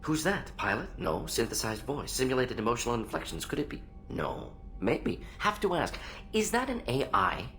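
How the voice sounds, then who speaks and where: An actor playing an eccentric alien scientist in a video game, analytical, speaking in clipped rapid-fire fragments. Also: male voice, mid-range voice, big pitch swings, fairly bright tone, slight background noise. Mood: curious, suspicious. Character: fast-talking alien salarian scientist, brisk, analytical, eccentric. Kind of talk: video game character acting.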